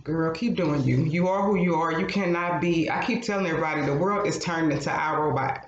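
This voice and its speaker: A man's voice: fairly high pitch, brisk. A man co-hosting a casual podcast, giving frank, blunt advice and swearing freely. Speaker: podcast co-host. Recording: roomy, slightly dull sound with faint noise.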